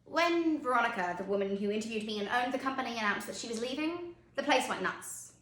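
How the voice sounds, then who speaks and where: A woman's voice: on the high side, lively intonation, fast talker, fairly bright tone. A woman performing a dramatic monologue as a driven, candid, haunted mother, speaking emphatically with theatrical swings in volume. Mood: candid, intense. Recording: roomy echoing sound.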